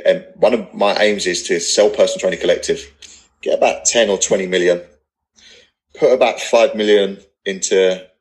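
Male podcast guest, 30s, low voice, everyday non-posh English accent, earnest and blunt, loose conversational delivery. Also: low-quality recording. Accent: English, not posh. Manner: earnest, rambling, blunt with swearing. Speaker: podcast guest, personal trainer business owner. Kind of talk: casual podcast interview, candid talk.

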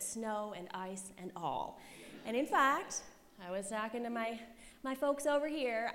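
A woman's voice: fairly high pitch, fairly bright tone. A woman in her forties from the United States, giving a chatty, self-deprecating conference sermon to a live audience, often checking in with "right?" and "okay?". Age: 40s. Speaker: conference speaker, pastor's wife. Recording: reverberant hall, faint room noise.

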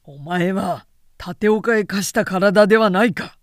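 A woman's voice: mid-range voice, unhurried, no echo, clean studio sound, close to an everyday voice. A woman voicing a stern, resolute samurai elder brother with a blunt, commanding manner in a story reading. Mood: stern, scolding.

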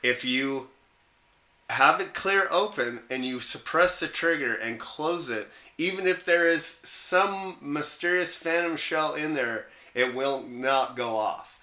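A man's voice: a little high, slow, bright, forceful tone, reverberant. A man co-hosting a podcast in casual chat, speaking in an informal, blunt way with the odd mild swear. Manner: informal, blunt, mildly profane. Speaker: podcast co-host. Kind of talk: casual chat about guns.

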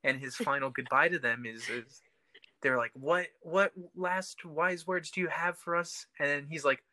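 Bright-voiced podcast panelist, dry sound. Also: mid-range voice, moderate pace, no room sound. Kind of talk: casual chat about a TV show.

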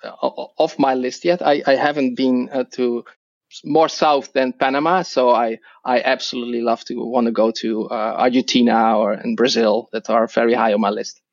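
A middle-aged man with a Dutch accent, a podcast guest who speaks English as a second language and talks haltingly with many restarts and fillers. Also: medium pitch, fairly even intonation, no room sound, highs cut off. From Netherlands. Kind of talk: podcast interview.

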